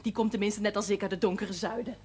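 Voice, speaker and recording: An actress playing a jealous, hurt young wife from the south of the Netherlands, with a southern Dutch lilt, in an old TV drama. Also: female voice, medium pitch, steady tone, fast, a little mellow, slight background noise, close to an everyday voice. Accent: southern Dutch, Limburg. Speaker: doctor's patient. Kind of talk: 1970s TV drama acting.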